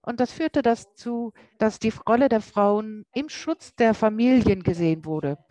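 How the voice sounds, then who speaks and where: Halting woman interpreting a lecture live. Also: fairly high pitch, dark tone, no echo, low-quality recording. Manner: halting, with frequent self-corrections. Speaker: simultaneous interpreter.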